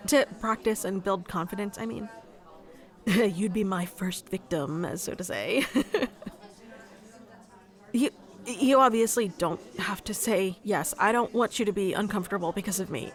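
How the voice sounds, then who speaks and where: A woman playing a shy, nerdy young woman in an ASMR roleplay, talking to the listener in a hesitant, self-conscious, rambling way with small stammers. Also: mid-range voice. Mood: anxious, apologetic. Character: shy, nerdy young woman, awkward stranger in coffee shop. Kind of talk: ASMR roleplay, one-sided conversation with listener.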